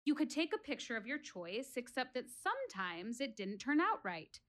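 An actress playing a reflective, wistful woman who quizzes her audience about life in a deliberate, expressive theatrical monologue, speaking as if confiding in them. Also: fairly high pitch. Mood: musing. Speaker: actress in a one-woman show.

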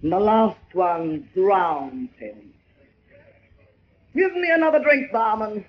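An actress playing a blowsy, broken-down old stage actress who declaims theatrically in an old radio drama. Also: female voice, mid-range voice, very animated, slow pace. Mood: boozy, declaiming. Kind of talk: 1940s radio acting. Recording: muffled, noisy old radio recording.

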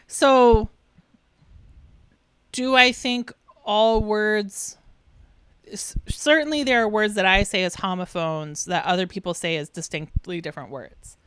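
Smooth-voiced woman, dry and matter-of-fact. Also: medium pitch, unhurried, long pauses, fairly bright tone, dry recording. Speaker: podcast co-host. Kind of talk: casual chat.

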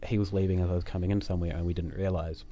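Low-voiced New Zealand man chatting. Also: level tone, very fast, warm tone, muffled recording. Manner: chatty, self-deprecating, lots of fillers and restarts. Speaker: podcast host. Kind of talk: casual chat. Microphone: desk microphone.

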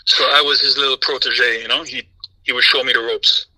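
A man's voice: mid-range voice, brisk, dry recording, rough recording. Laid back guy from the islands raised in the states, talks easy, lots of "you know", bone dry mic. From Jamaica and Trinidad, raised in the United States. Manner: relaxed, conversational, with frequent "you know". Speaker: guest, music industry professional. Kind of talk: casual interview.

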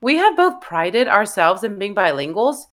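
A woman's voice: medium pitch, very animated, moderate pace. A woman in her thirties from Taiwan, co-hosting a podcast and explaining things in an earnest, casual way. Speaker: podcast co-host. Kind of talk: casual scripted chat.

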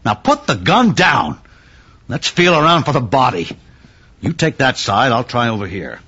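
A man playing a firm, commanding small-town police inspector with a husky voice in an audio drama. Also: medium pitch, sing-song, normal speed, bright, forceful tone, exaggerated voice. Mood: stern. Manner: authoritative, stern. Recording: slightly dull audio.

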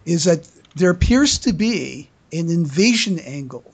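A podcast host working through fan news in casual chat. The delivery is halting and stammering, with words often repeated, at a middling pitch. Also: expressive, slightly rough.

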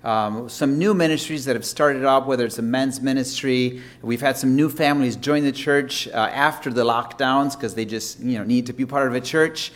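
A man who is a missionary speaks to a congregation in a relaxed, friendly way. He talks casually, with frequent fillers. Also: medium pitch, moderate pace, few pauses. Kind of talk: casual church update.

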